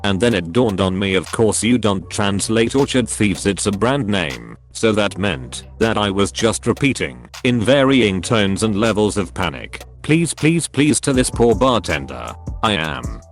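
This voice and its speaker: A man reading online stories and comments aloud as a scripted narration. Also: on the low side. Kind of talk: scripted narration of forum posts.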